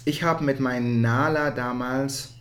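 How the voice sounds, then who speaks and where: A man from the Netherlands speaks German with a Dutch accent in a reflective interview, often halting to search for words in an animated way. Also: mid-range voice. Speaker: interview guest, musical theatre performer.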